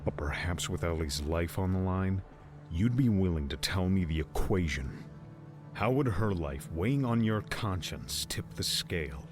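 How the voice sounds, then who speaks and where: A man's voice: sits low, lively intonation, unhurried, music in the background. An actor playing a smug, menacing older man who leads a mob, taunting and condescending, in an audiobook drama. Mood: cold threat. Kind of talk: audiobook drama villain acting.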